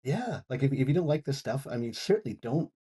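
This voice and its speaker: A man chatting casually as a radio contributor, measured, hesitant and easygoing. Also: medium pitch, very animated, warm tone, slightly rough. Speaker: regular radio contributor and reviewer. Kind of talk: casual panel chat.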